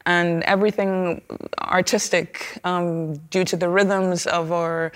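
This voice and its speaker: Trinidadian woman, low, thoughtful. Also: flat delivery, slow pace. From Trinidad and Tobago. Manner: thoughtful, with many fillers and restarts. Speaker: opera singer, guest. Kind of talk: conversational interview.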